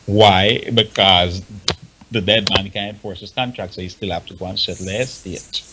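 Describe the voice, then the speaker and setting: A man with a Jamaican accent explaining things informally on a talk show, halting and repeating himself for emphasis. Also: sits low, fairly even intonation, background noise. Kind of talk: informal explanatory radio discussion.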